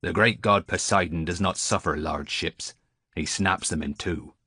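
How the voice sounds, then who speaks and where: A man's voice: low voice, measured pace, bright, forceful tone, slightly rough, dry recording, clean studio sound, full character voice. A man voicing a superstitious old male sailor on a harbour beach, stiff and scornful in manner. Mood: gruff.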